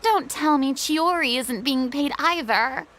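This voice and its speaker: A woman's voice: high voice, a little slow, fairly bright tone. A woman playing a wry, teasing acquaintance in a video game, with a dryly pointed drawl. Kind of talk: video game character dialogue.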